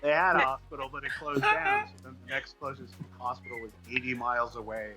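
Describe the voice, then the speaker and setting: A man's voice: medium pitch, very animated, a little fast, over loud music. A man chatting casually as an interview guest, telling things in a rambling, wry, informal way. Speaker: guest comics writer.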